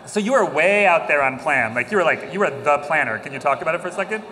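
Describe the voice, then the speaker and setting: A man leading a group exercise as a workshop facilitator, playful and quick with laughing asides. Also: fairly high pitch, animated, sharp tone. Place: large hall with a live group. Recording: long hall reverb, clear ambient noise.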